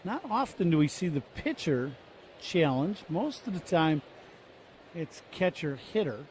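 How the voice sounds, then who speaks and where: A man's voice: on the high side, very animated, measured pace, a little mellow, a little hiss or hum, rough recording. A man giving conversational, analytical color commentary during a radio baseball broadcast.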